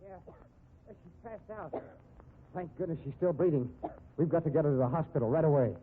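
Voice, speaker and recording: An actor playing a brash, wisecracking private detective, blunt but caring, in 1950s radio drama style on an old muffled recording. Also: male voice, on the high side, a little fast, natural voice. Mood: urgent. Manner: slangy, blunt, needling.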